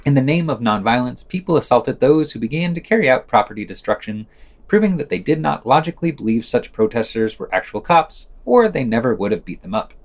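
A man's voice: medium pitch, sing-song, a little fast. A man podcast host reading a scripted news roundup with quoted article excerpts.

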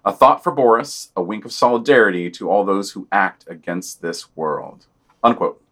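A man's voice: fairly low pitch, normal speed, fairly bright tone, husky. A man hosting a podcast, reading a scripted news digest and long quotations aloud. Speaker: podcast host.

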